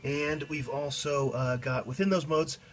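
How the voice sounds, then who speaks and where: A man giving a casual, explanatory demonstration of a guitar effects pedal in a halting way, as a teacher would. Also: on the high side, fairly even intonation, slight background noise. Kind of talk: casual product demo.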